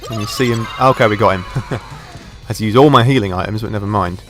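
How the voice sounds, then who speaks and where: Guy, sore throat, croaky and lowish, relaxed let's-play talk. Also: fairly quick, soft background music. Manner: relaxed, thinking aloud while playing. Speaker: gameplay commentator. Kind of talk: casual let's play commentary.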